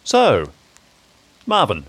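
A man's voice: mid-range voice, huge pitch swings, very slow, fairly bright tone, husky, voice pushed up, full character voice. Man playing stubborn, stiffly courteous fellow, very swoopy. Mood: strained politeness. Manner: theatrical, emphatic, stiffly courteous. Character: Ernest, indignant, stubborn man forcing polite small talk.

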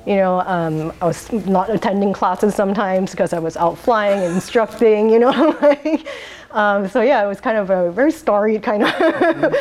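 A woman from Singapore, talking through her life in a rambling oral history interview, laughing often and pausing on um's. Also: mid-range voice, lively intonation, darkish tone. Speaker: interviewee, wine bar owner.

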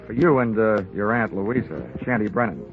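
An actor as wry hard-boiled radio detective, tinny recording. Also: male voice, lowish voice, moderate pace, lightly acted. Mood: cool, probing. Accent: American. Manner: clipped, deadpan, wisecracking. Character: wry, hard-boiled male insurance investigator narrating his case. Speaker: lead actor and narrator. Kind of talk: 1950s radio detective drama acting. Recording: band-limited vintage radio recording, music behind.

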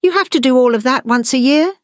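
A woman's voice: sits high, fast, dry recording, studio quality, pitched above the performer's own voice, close to an everyday voice. Woman doing a confused, childlike little monster. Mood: horrified.

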